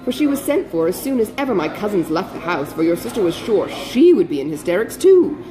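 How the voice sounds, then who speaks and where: Casting: female reader as excitable older society gossip, good-hearted, breathless, very wide swings in pitch, period novel audiobook. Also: a little fast, lightly acted, rough recording. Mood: indignant. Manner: breathless, exclamatory, chatty gossip. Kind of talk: dramatised audiobook reading of a classic novel.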